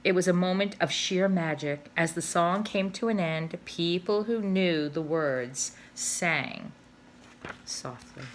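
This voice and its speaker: A woman, a teacher, reading a children's novel aloud with expressive storytelling. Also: fairly low pitch, slow pace, slight background noise.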